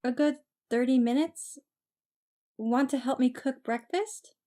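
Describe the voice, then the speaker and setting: A woman's voice: sits high, a little slow, dark tone. A woman acting a friendly, headstrong young woman in an amateur audio story. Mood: friendly, teasing.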